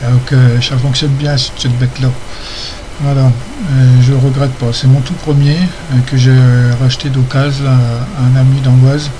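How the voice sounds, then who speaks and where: A man's voice: medium pitch, flat delivery, a little slow. A man chatting casually as an amateur radio operator, rambling and hesitant. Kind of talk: casual ham radio chat. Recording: noisy amateur radio session recording.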